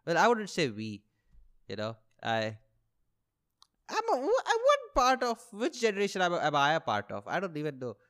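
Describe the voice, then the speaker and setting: Young man, Indian accent, higher-pitched voice, very animated intonation, casual sweary comedy rambling, repetitive, complaining delivery. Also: moderate pace. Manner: self-deprecating, sweary, repeats and rephrases himself. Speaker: podcast host and stand-up comedian.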